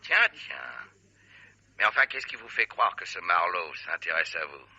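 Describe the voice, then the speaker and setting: Gruff cop, male, radio noir: very low voice, menacing and curt, lo-fi old broadcast sound. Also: lively intonation, moderate pace, bright, forceful tone, full character voice. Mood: brusque, soothing. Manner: curt, bullying. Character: gruff, threatening male police lieutenant. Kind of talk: French radio crime drama acting. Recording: dull, lo-fi old radio recording.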